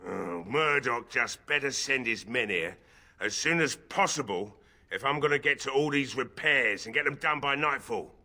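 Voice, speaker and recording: A man's voice: medium pitch, animated, normal speed, pushed and bright. A man acting a grieving, drunken, bitter village blacksmith in a fantasy video game, with a weary, sardonic drawl. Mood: resigned. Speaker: voice actor in a fantasy role-playing game.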